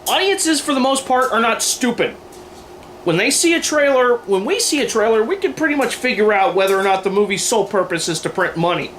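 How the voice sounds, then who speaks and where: A man with a notably high voice hosts an opinion video. He delivers scripted commentary with sarcasm and firm emphasis. There is faint room noise behind him. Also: lively intonation, fairly quick, fairly bright tone. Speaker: video host. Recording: noisy room recording.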